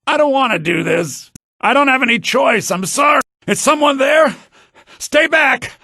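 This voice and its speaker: A man's voice: extremely high pitch, animated, a little slow, creaky, bright, forceful tone, no room sound, studio quality, full character voice. A man playing a frightened, desperate video game character who pleads in panic as if forced against his will. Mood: panicked, pleading.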